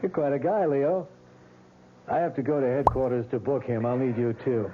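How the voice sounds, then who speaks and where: An actor playing a skeptical but decent police lieutenant, probing and authoritative, in a radio drama. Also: male voice, mid-range voice, normal speed, a little hiss or hum, lightly acted. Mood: kindly. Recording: narrow-band old radio recording, faint music.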